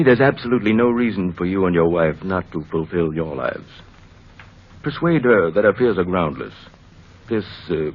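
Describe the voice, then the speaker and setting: An actor playing an older, fatherly, opinionated family doctor in an old time radio drama, oratorical, with dramatic pauses. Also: sits low, husky. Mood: urging. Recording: muffled old radio recording, faint noise.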